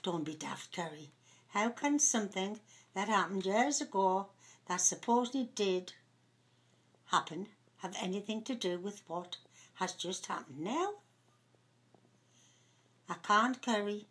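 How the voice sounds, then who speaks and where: A woman with a husky, breathy voice of middling pitch reads stage dialogue as an amateur. She has a North East English pitmatic accent from around Houghton-le-Spring. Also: a little slow, pauses often, fairly bright tone. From north-east England. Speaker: amateur script reader. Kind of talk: dramatic dialogue read-through.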